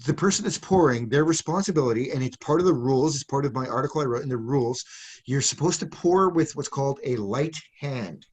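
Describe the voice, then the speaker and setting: A man from Canada tells stories in a relaxed podcast chat. His voice sits in the middle range, and he is animated and quick to laugh as he talks. He often restarts his sentences. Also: mid-range voice. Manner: animated storyteller, laughs mid-story, frequent restarts. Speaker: guest journalist. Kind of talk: casual storytelling chat.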